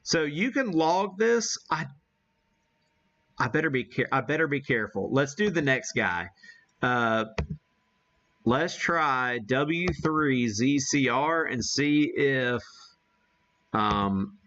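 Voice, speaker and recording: An American man hosts a casual live demo with an unhurried, folksy delivery. He thinks aloud as he works, in an average-pitched voice. The sound is slightly muffled and lo-fi. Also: expressive, fairly bright tone. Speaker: host demonstrating amateur radio live. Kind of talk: casual live-stream chat while operating.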